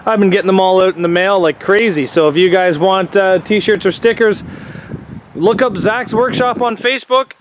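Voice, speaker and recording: Stuffy-nosed, high-voiced man chatting casually. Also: moderate pace, a little hiss or hum, rough recording. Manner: laid-back, rambling, frequent "uh" fillers. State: stuffy and sniffling from a cold. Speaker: demolition derby builder and vlogger. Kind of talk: casual vlog chat. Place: home workshop or garage.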